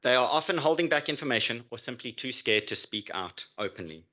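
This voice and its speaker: A man delivering a prepared pitch as a startup founder. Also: lively intonation, a little fast, pushed and bright. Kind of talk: prepared business pitch presentation.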